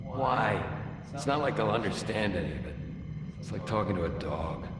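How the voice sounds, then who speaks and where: A man's voice: on the low side, animated, normal speed, close to an everyday voice. An actor playing an arrogant, sarcastic, impatient male scientist with a sneering, dismissive delivery in a video game audio log. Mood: contemptuous. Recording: echoey hall, distant, very noisy.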